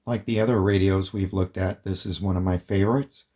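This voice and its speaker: A man's voice: low voice, fairly even intonation, a little fast, dark tone. A man presenting an informal hands-on demonstration as a hobbyist, explaining things plainly step by step.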